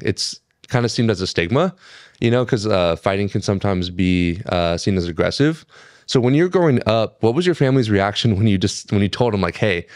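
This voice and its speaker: A man in his thirties co-hosting a podcast, asking friendly, long wind-up questions into a studio boom mic. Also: no room sound. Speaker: podcast co-host. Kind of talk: casual interview chat. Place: studio set with couch. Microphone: boom mic with headphones.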